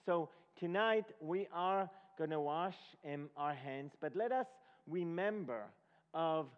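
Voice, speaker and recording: Higher-voiced preacher in a reverberant hall. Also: male voice, animated, clear, resonant tone. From Israel. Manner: halting, asks the listeners check questions. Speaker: guest preacher leading a Passover presentation. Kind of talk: sermon, ceremonial teaching to a congregation. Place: large reverberant room before an audience. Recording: dull lo-fi recording in a reverberant hall.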